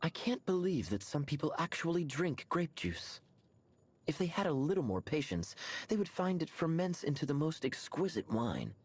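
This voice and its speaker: A man's voice: fairly high pitch, sing-song, normal speed, slightly rough. An actor playing a suave, theatrical, haughty man who savors fine wine in a video game. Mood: disdainful, savoring. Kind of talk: video game character voice lines.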